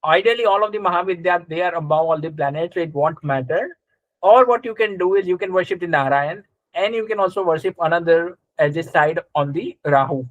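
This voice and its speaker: A man with a fairly high voice teaches a live class in a conversational way. He explains step by step and often checks with short questions, recorded close and dry. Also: a little fast, dry recording, highs cut off. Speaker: astrology teacher. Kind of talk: live interactive class, explaining and quizzing students.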